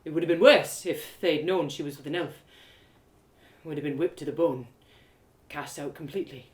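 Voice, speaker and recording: A woman acts out a wounded young man in an audio story. He is brooding and bitter, telling of old hurts with anguish. The voice sits low, in an echoey room. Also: normal speed, lightly acted. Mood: grim, remorseful. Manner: brooding, anguished, matter-of-fact. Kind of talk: dramatic audio story acting. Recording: roomy, echoey live reading.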